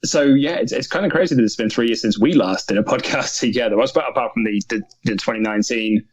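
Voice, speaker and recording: A man's voice: mid-range voice, quick pace, husky, dry recording. Guy chatting on a podcast, dry sound, kinda muffled lo-fi mic. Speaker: podcast co-host and returning guest. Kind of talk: casual chat. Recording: dry, dull lo-fi voice track.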